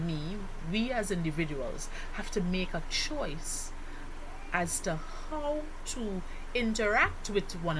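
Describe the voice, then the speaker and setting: Slow, deliberate woman lecturing, noisy recording. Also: on the low side. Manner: deliberate, teacherly, with rhetorical questions and emphatic stresses. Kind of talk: explanatory lesson voice-over. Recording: noticeable background noise.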